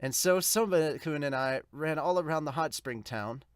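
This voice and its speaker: A man's voice: fairly high pitch, moderate pace, smooth, no echo, studio quality, lightly acted. A man voicing an earnest, polite, easily flustered young woman detective in an amateur manga dub. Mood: narrating.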